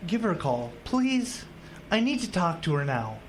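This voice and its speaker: A man's voice: fairly high pitch, big pitch swings, normal speed, over music. A man playing an earnest, easygoing young husband from Minnesota in a radio drama, talking in a conversational but determined way. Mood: insistent.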